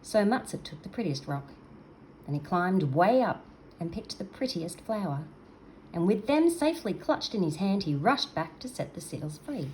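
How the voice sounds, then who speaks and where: A woman's voice: lowish voice, sing-song, moderate pace, a little mellow, low-quality recording. Older woman reading a children's story, background noise.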